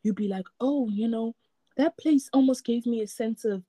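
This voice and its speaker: A woman's voice: fairly high pitch, moderate pace, dark tone, no echo. A woman from Africa, reflecting in a casual chat and often repeating words as she searches for them. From South Africa.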